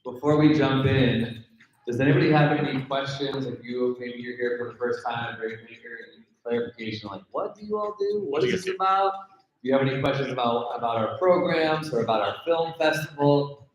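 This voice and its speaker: Male event host, mid-pitched, conversational and informal, addressing a gathering in a heavily reverberant room. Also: brisk, muffled recording. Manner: conversational, engaging listeners with questions. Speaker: event host and program organizer. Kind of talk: informal live announcements to a gathering. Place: indoor mixer venue in front of a gathering. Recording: very echoey room, live event.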